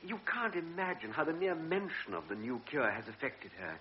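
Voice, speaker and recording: A man's voice: on the high side, sing-song, a little fast, a little hiss or hum. An actor playing an earnest young army lieutenant who pleads his case in a radio drama. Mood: pleading. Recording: dull old radio recording.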